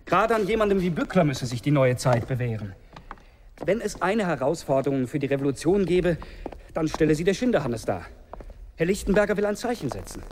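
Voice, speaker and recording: An actor playing a cool, calculating young strategist in a radio drama, speaking in formal, measured, precise phrases. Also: male voice, a little high, big pitch swings, background noise. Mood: dry, ironic. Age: young adult. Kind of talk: German radio drama acting.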